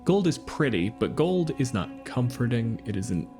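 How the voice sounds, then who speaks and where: A man's voice: medium pitch, very animated, unhurried, a little mellow, faint music behind. A man playing a tabletop game, chatty and opinionated, with dry quips. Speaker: player. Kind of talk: casual tabletop play chat.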